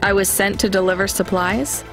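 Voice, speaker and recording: An actress playing a sceptical, independent young delivery driver in a video game, matter-of-fact and guarded. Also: female voice, mid-range voice, little pitch movement, normal speed, fairly bright tone, soft background music, close to an everyday voice. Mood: guarded, polite. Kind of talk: naturalistic video game dialogue.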